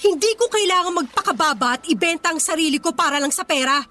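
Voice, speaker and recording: A woman's voice: very high voice, animated, fast talker, sharp tone, faint music behind, exaggerated voice. A woman acting a poor but proud young working woman in a radio drama, speaking with earnest, wounded dignity. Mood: indignant.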